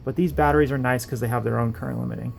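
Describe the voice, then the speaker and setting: A man's voice: mid-range voice, steady tone, rapid-fire, creaky, slight background noise. A man hosting a casual workbench build video, talking in a rambling, self-deprecating way. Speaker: electronics hobbyist host.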